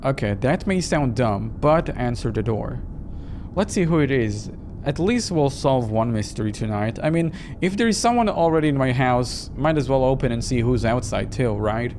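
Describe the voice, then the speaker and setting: A man hosting a game playthrough, reacting in an animated way as he reads and comments. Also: mid-range voice, moderate pace, background noise. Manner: reactive, animated, acts out dialogue while reading. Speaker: gaming video host. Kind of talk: playthrough reading and live commentary. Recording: music behind the voice.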